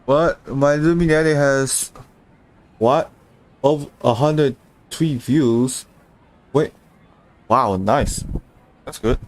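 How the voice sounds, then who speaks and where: A man's voice: medium pitch, takes it slowly, long pauses. A man livestreaming a video game with casual, chatty commentary. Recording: game music clearly behind. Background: video game music.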